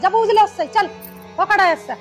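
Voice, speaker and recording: Woman playing a shrill nagging mother-in-law. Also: moderate pace, soft background music. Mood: grumbling. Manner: nagging, scolding. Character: scolding older mother-in-law, sharp-tongued. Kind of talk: family drama skit.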